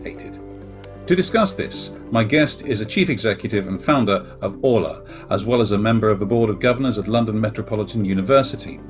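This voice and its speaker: A man's voice: fairly low pitch, animated, a little fast, over music. A man hosting a technology podcast, introducing the show and his guest with dry, wry asides. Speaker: podcast host. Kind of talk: podcast intro and interview questions.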